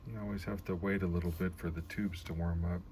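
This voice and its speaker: Male DIY restorer, low register, casual and halting, thinking aloud, with clear background noise. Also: steady tone, a little mellow. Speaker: vlogger restoring an old radio. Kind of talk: casual hands-on demonstration commentary.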